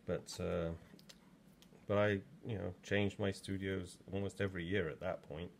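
A man's voice: low-pitched, level tone, moderate pace, gravelly, a little hiss or hum. A man in his sixties from England, chatting in a rambling way with plenty of ums while working in a pottery studio, recorded from a camera just over his shoulder. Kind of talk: casual demo talk while working.

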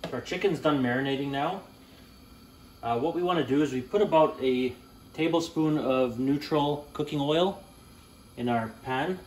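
A young man hosting a home cooking channel, talking through a recipe step by step in a relaxed, plain-spoken way with small fillers and self-corrections. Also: mid-range voice, normal speed, slight background noise. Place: home kitchen at the stove.

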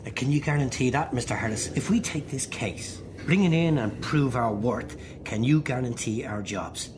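A man performing an Irish private detective for a radio play. He is brisk but reassuring, with an expressive, swinging pitch. The recording has clear noise behind it. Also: lightly acted. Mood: brisk, insistent. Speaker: actor.

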